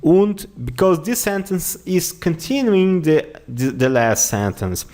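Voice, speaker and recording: A man with a Brazilian accent teaching an online lesson, going slowly, repeating words and often checking with "okay?". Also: a little high, animated, darkish tone. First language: Portuguese. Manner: slow, repeats words and phrases often, says "okay?" a lot. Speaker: language teacher.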